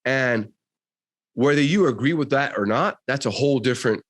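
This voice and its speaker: Emphatic Cuban American guest. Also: male voice, mid-range voice, moderate pace. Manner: emphatic, motivational, says dude. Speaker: podcast guest. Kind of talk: casual conversation.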